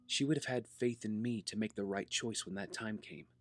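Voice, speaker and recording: A man's voice: fairly low pitch, normal speed, no echo, lightly acted. A man acting an earnest, self-doubting young vigilante hero in an audio drama. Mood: fond, resolved. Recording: music behind the voice.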